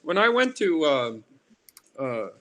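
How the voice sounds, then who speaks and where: An older man from Alaska talking casually as an interviewed artist, in a halting, rambling way that restarts and drifts. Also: a little high, very animated, a bit bright. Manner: halting, rambling, with repeated starts and tangents. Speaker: artist and carver being interviewed. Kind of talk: casual reminiscing interview talk. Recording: noticeable background noise.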